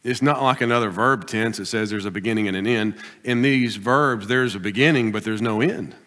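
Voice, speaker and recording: A man preaching a sermon as pastor, pressing his points with rising bursts and then dropping to quiet, deliberate asides. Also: lowish voice. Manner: emphatic preaching, swings between loud exhortation and quiet asides. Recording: long hall reverb.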